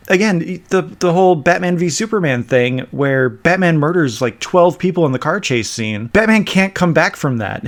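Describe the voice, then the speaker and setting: A man with a mid-pitched voice hosts a casual podcast. He speaks in an emphatic, opinionated rant, sounding exasperated as he makes his points. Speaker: podcast host.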